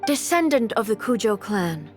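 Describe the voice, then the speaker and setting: A woman playing a cold, imperious shogun in a video game, speaking formally and deliberately. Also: on the high side, very animated, music in the background. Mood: imperious challenge. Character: stern female ruler shogun, imperious and cold.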